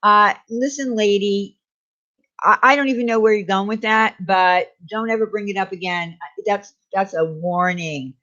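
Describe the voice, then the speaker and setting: A woman co-hosting a casual talk stream, speaking in a stern, halting, warning way. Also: medium pitch, sharp tone, muffled recording. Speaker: co-host. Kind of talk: casual talk show chat.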